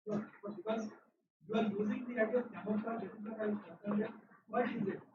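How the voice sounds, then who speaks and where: A man acting as discussant in an academic seminar, asking long, searching questions with many pauses and fillers. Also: sits high, fairly even intonation. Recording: echoey, distant, phone-like hall recording with noise.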